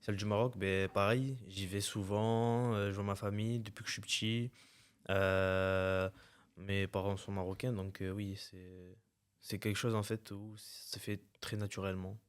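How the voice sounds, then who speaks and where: A young man from France, a podcast guest answering in a relaxed, simple way. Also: on the low side, normal speed, clear, resonant tone. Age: young adult. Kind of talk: casual chat.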